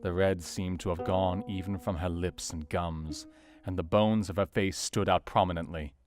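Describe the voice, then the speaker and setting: Man acting low-voiced, sombre young doctor narrating. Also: fairly even intonation, normal speed, close to an everyday voice. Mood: grave. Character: grave, observant young doctor recounting his diary. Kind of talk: dramatised scripted narration. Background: soft guitar music.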